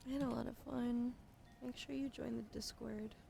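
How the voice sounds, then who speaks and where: A young woman streaming ASMR, drowsy and soft-spoken, slipping into whispers as she chats. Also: on the high side, fairly even intonation, normal speed, vocal fry, slight background noise. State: sleepy. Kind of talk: sleepy ASMR stream chat.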